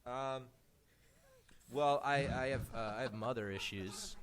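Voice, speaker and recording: A man talking in awkward, halting bursts during comedy banter. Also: mid-range voice.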